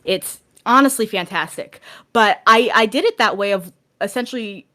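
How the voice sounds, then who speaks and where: A woman's voice: medium pitch, normal speed, bright, forceful tone. Woman guest chatting on a podcast. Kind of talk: casual explanatory podcast conversation.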